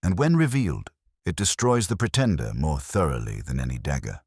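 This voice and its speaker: A man's voice: a bass voice, animated, a little fast, a little mellow, breathy edge, dry recording, studio quality, exaggerated voice. A man voicing an imperious, blunt male detective who speaks in pompous, formal pronouncements in a mystery audiobook. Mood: solemn. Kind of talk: mystery audiobook character reading.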